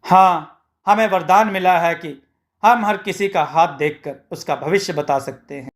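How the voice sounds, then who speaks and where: A man's voice: high voice, a little slow. A man voicing a brash, lazy farmer posing as a boastful holy beggar in a moral story, with a pompous, bossy manner.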